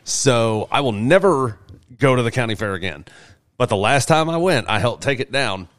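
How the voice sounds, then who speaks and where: A man's voice: medium pitch, lively intonation, normal speed, fairly bright tone, dry recording, studio quality. A man in casual conversation, speaking bluntly and swearing freely. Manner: profane, blunt. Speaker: podcast co-host. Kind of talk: casual chat.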